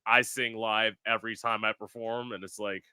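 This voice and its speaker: Bright-voiced chatty man, dry sound. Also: lowish voice, little pitch movement, moderate pace, clean tone, dry recording. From South Florida. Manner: chatty, lots of "like" fillers. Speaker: podcast host. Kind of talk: casual podcast chat.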